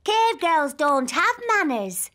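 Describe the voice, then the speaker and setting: Wilful little cartoon girl, high-pitched, very slow emphatic delivery. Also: sing-song, pushed and bright, full character voice. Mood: wilful, defiant. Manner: emphatic, drawn-out childish declarations. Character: bold, wilful, excitable little cartoon princess.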